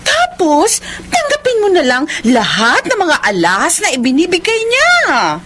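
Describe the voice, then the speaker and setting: An actress playing a nosy, materialistic young friend in a radio drama, exclamatory and coaxing. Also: female voice, very high-pitched, huge pitch swings, measured pace, fairly bright tone, slight background noise, heavily acted.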